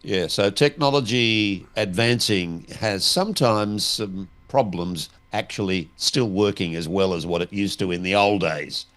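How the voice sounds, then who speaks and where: A man's voice: lowish voice, measured pace, hardly any pauses, a bit bright. A man co-hosting a conversational discussion, asking questions in a plain-spoken layman's way.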